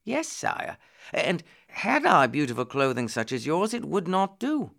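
Storybook poor lad, acted by a man: high-pitched, deferential, earnest, very lively intonation. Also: measured pace, gravelly, heavily acted. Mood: eager, earnest. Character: poor ragged boy, humble, eager, deferential. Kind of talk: storybook character reading.

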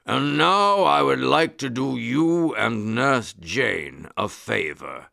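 A man voicing a big, polite male circus lion for a storybook, with a loud rumbling voice and a courtly, apologetic manner. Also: animated, slow pace, creaky, no echo, studio quality, exaggerated voice. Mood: eager to help.

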